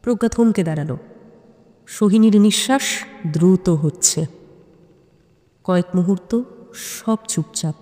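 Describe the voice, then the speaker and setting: A woman's voice: fairly low pitch, a little slow, pauses often, warm tone, in a large hall. A woman reading a scripted suspense story as narrator, with a dramatic, suspenseful delivery.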